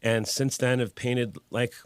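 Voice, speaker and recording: A man's voice: mid-range voice, fairly even intonation, a little slow, smooth, no room sound. A man in his forties, a guest artist telling his story in a candid, laid-back way with casual swearing. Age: 40s. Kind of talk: casual interview conversation.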